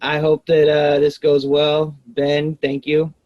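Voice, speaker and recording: A man introducing himself over a video call with joking, deadpan boasts. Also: fairly high pitch, takes it slowly, dry recording, lo-fi sound. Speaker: game player.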